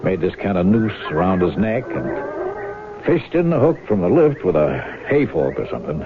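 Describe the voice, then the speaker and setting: A man acting a stoic, plain-spoken farmhand and older brother in a 1970s radio drama, halting and guarded. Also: deep voice, huge pitch swings. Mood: somber. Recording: noisy phone-like old radio broadcast.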